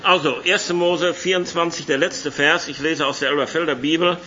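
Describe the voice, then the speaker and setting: A hoarse man with a cold preaching a sermon in a church hall, chatting with the congregation and reading scripture aloud. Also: a little high, fairly even intonation, fairly quick, lo-fi sound, background noise. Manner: conversational, reads scripture aloud.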